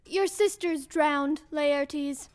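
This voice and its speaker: A female actor playing a sorrowful, gentle queen in a Shakespearean audio drama, speaking solemnly and lyrically in halting phrases. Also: sits high. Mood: mournful. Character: sorrowful, gentle queen bearing tragic news.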